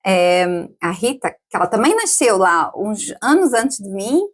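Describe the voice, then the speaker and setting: A woman's voice: mid-range voice, big pitch swings, measured pace, slightly rough. A woman in her forties, a podcast guest, chatting casually, laughing often and tagging questions onto her sentences. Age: 40s. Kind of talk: casual interview chat.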